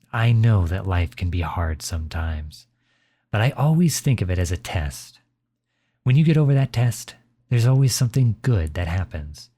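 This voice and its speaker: A man plays a kind, reassuring father in an ASMR roleplay, comforting his child. The voice sits low, with a dark, relaxed tone. He speaks slowly and softly, with gentle encouragement. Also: husky, full character voice. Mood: tender, encouraging. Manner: soft, soothing, unhurried encouragement.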